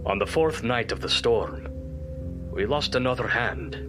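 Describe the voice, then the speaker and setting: An actor playing a weary ship's captain, narrating his log gravely in clipped, deliberate phrases in an audio drama. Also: medium pitch, lively intonation, over music, close to an everyday voice. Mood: grave, measured.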